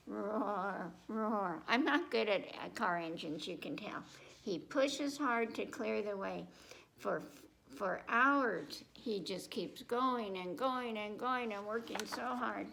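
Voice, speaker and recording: A woman's voice: on the high side, creaky, a bit bright, slight background noise, rough recording. A woman presenting a children's storytime, reading picture books aloud and breaking off to speak directly to young listeners with simple questions and repetition.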